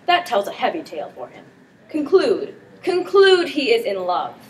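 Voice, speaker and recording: A teenage girl playing a teasing, excitable prince in an amateur Shakespeare performance. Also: on the high side, normal speed. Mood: playful teasing. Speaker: student performer. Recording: distant mic with background noise.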